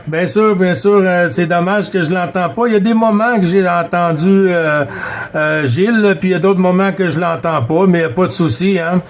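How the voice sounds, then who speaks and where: A man from Quebec with a Québécois accent, chatting casually and haltingly as a CB radio operator. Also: sits high. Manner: halting, repetitive, frequent filler tags. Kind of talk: casual radio contact chat.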